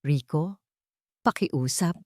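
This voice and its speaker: A woman's voice: low voice, slow pace, dry recording, clean studio sound. A woman voicing an anguished older sister who pleads emotionally in an audio story. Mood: desperate pleading.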